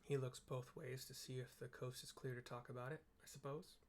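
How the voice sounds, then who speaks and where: A man's voice: medium pitch, little pitch movement, fairly quick. A man chatting casually through a game playthrough, describing scenes with rambling, jokey asides. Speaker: gameplay narrator.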